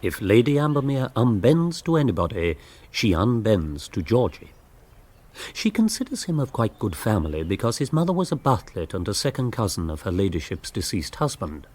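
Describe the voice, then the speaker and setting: An actor playing a wry, urbane, gently mocking author who narrates a comic radio drama in an English accent. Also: male voice, fairly low pitch, sing-song, moderate pace.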